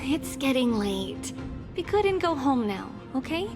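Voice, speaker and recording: Gentle kindly young maiden, game character, lilting expressive delivery, slow pace, music behind. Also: female voice, sits high, exaggerated voice. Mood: gentle, amused. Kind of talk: game voice acting.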